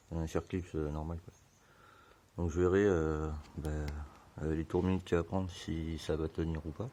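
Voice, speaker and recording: Hoarse guy, really deep voice, chatty and slangy, lots of ums, talking you through some bike work. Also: slight background noise. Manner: relaxed, slangy, many fillers. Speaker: motorbike hobbyist. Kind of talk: casual how-to explanation.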